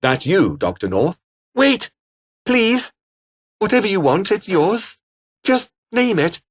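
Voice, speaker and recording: Haughty British rebel officer, swooping intonation. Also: male voice, high-pitched, very animated, slow, full character voice. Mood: businesslike, then pleading, panicked. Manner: pompous, sneering, clipped formal phrasing. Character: haughty, bitter, scheming fallen-aristocrat rebel officer. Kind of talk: video game character dialogue.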